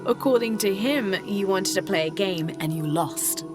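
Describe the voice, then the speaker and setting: An actress playing a thoughtful young woman adventurer in a video game, measured and sincere. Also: medium pitch, lightly acted. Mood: earnest, calm. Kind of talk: video game cutscene acting. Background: music.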